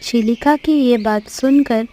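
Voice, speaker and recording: A woman's voice: a little high, slow pace, warm tone, a little hiss or hum. A woman narrating a scripted animal story for children as a storyteller.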